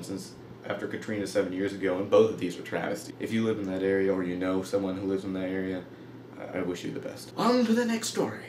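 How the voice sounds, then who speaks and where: Low-voiced young man in an echoey room. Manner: informal, wry, with mock-emphatic asides. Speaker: host of a comedic news web show. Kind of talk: casual humorous news commentary. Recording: roomy echo, faint background noise.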